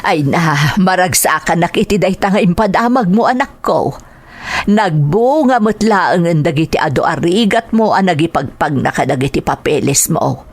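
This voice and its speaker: A woman with an Ilocano accent playing an affectionate, gentle older mother in a radio drama. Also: on the low side, expressive, normal speed, no echo. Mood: tender, glad.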